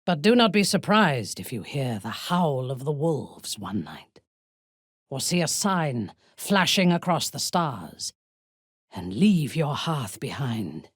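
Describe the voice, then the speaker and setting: Husky, imperious dark elf warrior. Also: female voice, rumbling low, very animated, no room sound, clean studio sound, exaggerated voice. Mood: cool, haughty. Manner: slow, deliberate, haughty, menacing. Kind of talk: video game voice acting.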